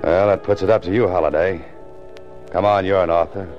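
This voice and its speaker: A man's voice: low-pitched, fairly even intonation, fairly quick, natural voice. A man playing a terse, brooding author-detective who narrates his own case, with an American accent, in 1940s radio noir style. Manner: terse, self-questioning. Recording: muffled 1940s radio recording, music behind.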